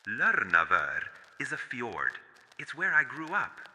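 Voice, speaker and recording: A man's voice: medium pitch, wildly swooping pitch, takes it slowly, sharp tone, heavily acted. A man voicing a traumatised furry cult survivor in an audio horror drama, speaking in a solemn, confessional way. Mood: wistful, subdued. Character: traumatised male furry cult survivor with nature powers, haunted. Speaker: voice actor. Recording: long hall-like reverb.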